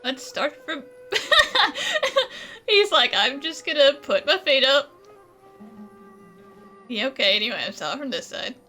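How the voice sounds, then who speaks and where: A woman's voice: sits high, big pitch swings, slow, pauses often, sharp tone, faint music behind, heavily acted. A woman voicing a playful, caring young female companion in a casual style. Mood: amused, playful.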